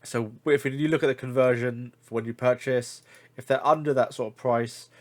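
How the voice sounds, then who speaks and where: A man's voice: medium pitch, steady tone, moderate pace. Male hobby vlogger, congested, casual rambling delivery with lots of "uh"s. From United Kingdom. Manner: rambling, many fillers and self-corrections. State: congested, blocked nose. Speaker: figure collector reviewing deals. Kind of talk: casual screen-share commentary.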